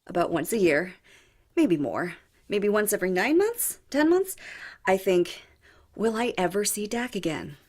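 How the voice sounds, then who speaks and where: A woman's voice: mid-range voice, sing-song, measured pace, a little mellow. An actress playing a lovelorn, sardonic woman diplomat, conversational and hesitant, in an audio drama. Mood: flustered, pleased. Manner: hesitant, conversational, self-correcting.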